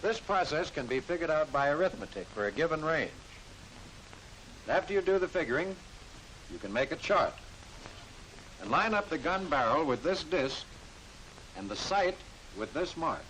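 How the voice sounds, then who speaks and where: A man's voice: big pitch swings, normal speed, pauses often, a bit bright, poor audio. An American man reading scripted narration for a 1940s military training film, instructing the trainee directly. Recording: hissy 1940s film soundtrack, rumbling noise.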